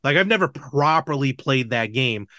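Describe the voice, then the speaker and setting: A man's voice: mid-range voice, lively intonation, normal speed, sharp tone, no echo, clean studio sound. A man hosting a podcast, chatting casually and enthusiastically about retro video games. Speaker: podcast host.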